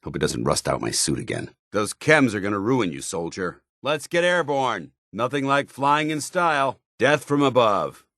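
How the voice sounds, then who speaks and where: An actor playing a stern, loyal soldier in a video game, speaking in a clipped, military, commanding manner. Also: male voice, medium pitch, big pitch swings, moderate pace, hardly any pauses, fairly bright tone, dry recording, studio quality. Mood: calm, dutiful, then stern disapproval, then urgent, commanding. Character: stern, loyal male soldier, zealous armored paladin companion. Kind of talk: scripted video game companion dialogue.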